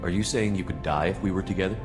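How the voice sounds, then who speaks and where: An actor playing a steady, plain-spoken military commander in a sci-fi game. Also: male voice, deep voice, fast talker, natural voice. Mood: steady, reassuring. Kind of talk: cinematic sci-fi game acting. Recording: loud music behind.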